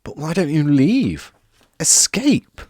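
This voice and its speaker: A polite young wizard boy, awkward and hushed, trying to keep things quiet, as a male narrator reads him. His voice is lifted a little above the narrator's and moves up and down freely. The sound is close and dry. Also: a little high, sing-song, slow, no echo, voice pushed up, full character voice. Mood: flustered.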